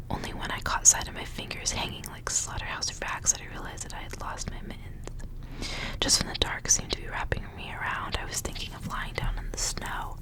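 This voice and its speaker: A woman reading a story aloud as ASMR, in a soft, breathy whisper. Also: poor audio. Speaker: ASMR reader and channel host.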